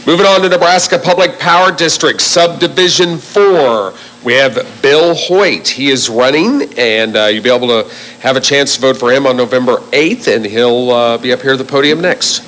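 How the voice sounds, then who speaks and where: A man's voice: medium pitch, moderate pace, fairly bright tone, slight background noise, poor audio. A man moderating a candidate forum in a cafe, announcing speakers in a plain, businesslike way. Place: bakery cafe forum room.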